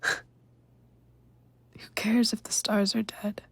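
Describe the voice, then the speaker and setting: An actress playing a dreamy, earnest teenage girl in a video game, speaking softly and reflectively in a breathy voice. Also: medium pitch, flat delivery, slow pace, whispered. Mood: tender, hopeful. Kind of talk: naturalistic video game acting.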